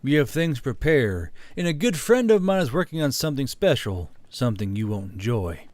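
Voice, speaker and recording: Give me a man playing a battlefield commander in a fantasy audiobook, stern yet merciful, in a close, dry recording with a medium-pitched voice. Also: big pitch swings, a little fast, darkish tone, no echo, lightly acted. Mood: stern, measured. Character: commanding, stern but merciful male war leader. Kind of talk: audiobook character acting.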